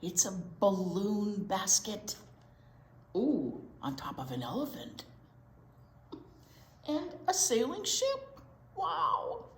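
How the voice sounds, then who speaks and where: A woman hosting a children's storytime, reading aloud in an expressive, exaggerated, playful way. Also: mid-range voice, slow pace, long pauses. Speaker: children's storytime host and teacher. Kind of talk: read-aloud to young children. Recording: roomy echo, clear background noise.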